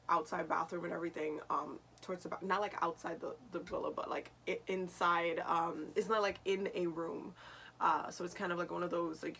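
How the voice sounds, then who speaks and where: A young woman with a lowish voice that is raspy after just waking up. She tells a story to camera in a loose, chatty way, circling back and correcting herself as she goes. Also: a bit bright, a little hiss or hum, low-quality recording. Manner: chatty, meandering, frequent self-corrections. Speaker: vlogger and spiritual medium.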